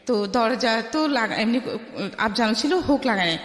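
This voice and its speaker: A woman's voice: on the high side, lively intonation, fairly bright tone. Bangladeshi woman telling her story, heavy reverb. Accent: Bangladeshi Bengali. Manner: halting, with repeated false starts and English words mixed in. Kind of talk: personal storytelling in a radio interview. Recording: echoey with long reverb.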